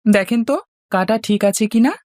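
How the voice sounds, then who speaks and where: A man plays a young husband from a cartoon, a barber with a fairly high voice. He is good-natured and eager to please, talking in a playful, teasing way. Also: moderate pace, darkish tone, dry recording, studio quality, natural voice. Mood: busy, obliging. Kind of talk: Bengali cartoon dialogue.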